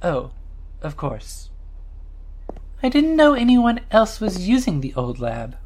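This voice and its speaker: A woman playing a polite, tactful colleague in an audio drama, with measured, careful wording. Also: mid-range voice, very animated, a little mellow, slight background noise. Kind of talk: naturalistic audio drama acting.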